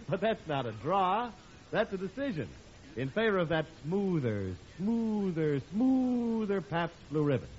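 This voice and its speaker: Male vintage radio pitchman, American, polished, emphatic, very animated intonation, muffled noisy recording. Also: unhurried. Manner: polished, emphatic salesman delivery. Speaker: announcer. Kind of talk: 1940s radio advertising announcing.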